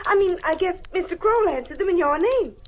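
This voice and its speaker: A woman's voice: very high voice, lively intonation, fairly quick. A woman acting as a coy, flighty belle in an old radio mystery comedy, wheedling. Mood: earnest explaining. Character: coy, flighty Southern belle wife, a murder suspect. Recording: old radio recording with faint noise.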